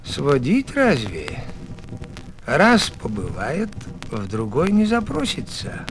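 Man doing a fussing, very animated old grandfather. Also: mid-range voice, takes it slowly, a little hiss or hum, voice pushed down. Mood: musing. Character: kindly old village grandfather, fond and cautious. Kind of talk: dramatised fairy-tale storytelling.

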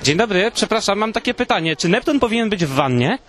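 Male street reporter, rapid pace, fairly high voice, playful questioning outdoors. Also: very animated, noisy background. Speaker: street interviewer, podcast host. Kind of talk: playful vox-pop interviewing.

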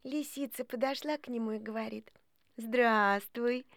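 High-pitched female fable narrator. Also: expressive, measured pace, no echo, studio quality. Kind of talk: expressive storybook narration of fables.

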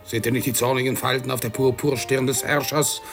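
An actor playing a solemn, reverent and stern court minister in a theatrical radio play, with grave declamation. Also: male voice, medium pitch, level tone, brisk, close to an everyday voice. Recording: loud music behind.

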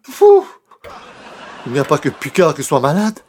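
A man's voice: fairly high pitch, huge pitch swings, normal speed, darkish tone, a little hiss or hum. A man playing a polite, put-upon newspaper columnist in a comic radio play, formal and mock-dramatic. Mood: appalled, self-reproaching. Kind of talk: comic radio play in 1930s-40s style.

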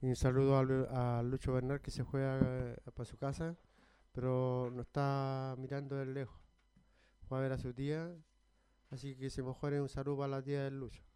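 A Chilean man speaking informally on amateur radio, haltingly reading aloud and repeating himself as he goes. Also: medium pitch, monotone, mellow, smooth. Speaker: radio contributor reading a news report. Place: community radio programme at a psychiatric hospital.

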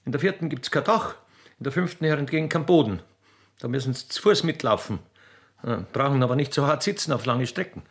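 A man voicing a grumpy, officious young country railway clerk girl with an Austrian accent, halting and cheeky, in a comic radio play. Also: mid-range voice, lively intonation, close to an everyday voice. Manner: halting, stammering, pedantic, cheeky.

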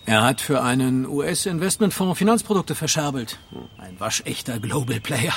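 An actor playing a smug, evasive banker in a German radio crime drama, with a glib, condescending manner. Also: male voice, mid-range voice, animated, normal speed. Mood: gossipy, excited. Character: smooth-talking, evasive, snobbish male banker. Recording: clear background noise.

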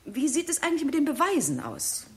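Mocking skeptic, animated, higher-pitched. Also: female voice, brisk, exaggerated voice. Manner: scornful, challenging. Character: mocking, skeptical female scientist demanding proof. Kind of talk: 1960s German radio play acting.

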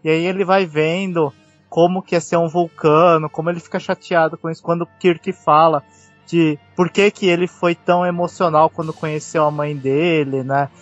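A Brazilian man with a slightly high voice chats informally as a panelist on a podcast. His sound is muffled and lo-fi, with music underneath. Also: fairly even intonation, normal speed, no room sound. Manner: informal, colloquial. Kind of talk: casual chat. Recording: dull lo-fi call audio, music behind.

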